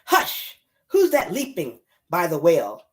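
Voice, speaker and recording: Anxious young-child's mama for a picture book, woman reader: pleading, hushing, sing-song, swooping intonation. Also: on the low side, wildly swooping pitch, slow, pitched below the performer's own voice, heavily acted. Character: anxious mother hushing animals to protect her sleeping baby.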